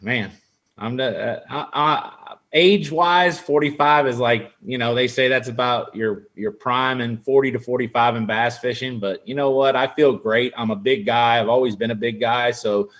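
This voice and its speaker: A man's voice: medium pitch, fairly even intonation, moderate pace, a bit bright, lo-fi sound. A man in his forties, a pro angler guest, chatting casually on a video call, rambling with lots of fillers. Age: 40s. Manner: rambling, friendly, full of fillers. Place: room in a house. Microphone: video call webcam.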